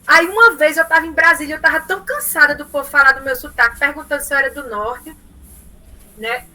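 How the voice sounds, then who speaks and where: A woman lecturer with a Northeastern Brazilian accent from Paraíba, giving an online class in an animated, storytelling way and often tagging her sentences with "né?". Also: high-pitched, a little fast. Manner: animated storytelling, frequent "né?" tags. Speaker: university lecturer.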